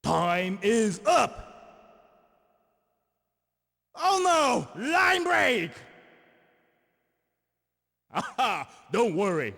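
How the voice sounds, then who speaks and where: A man voicing an excitable, exclamatory fishing guide who announces and cheers the player on in an arcade video game. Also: high voice, extremely slow, vocal fry, long pauses, long reverb tail, full character voice. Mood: alarmed, urgent, then encouraging. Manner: exclamatory, theatrical.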